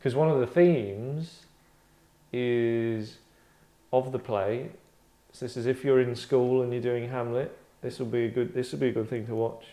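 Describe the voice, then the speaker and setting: A man's voice: mid-range voice, steady tone, unhurried, mellow. A man talking casually and comically as he leads a group of actors, rambling and breaking into his own sentences with dry humour. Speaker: table read leader among actors.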